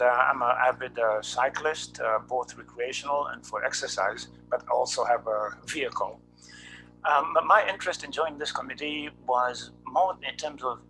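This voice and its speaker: A man with a bright, forceful mid-range voice, speaking over a video call with faint music behind him. He talks in a measured way, with frequent hesitations. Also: mid-range voice, rough recording. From Kenya. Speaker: committee member and cyclist. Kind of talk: committee self-introduction.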